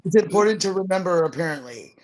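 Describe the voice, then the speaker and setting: A middle-aged woman chatting casually as a livestream co-host, in an easygoing, slightly halting, musing way. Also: on the low side, animated, over a noisy crowd, poor audio. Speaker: live stream co-host.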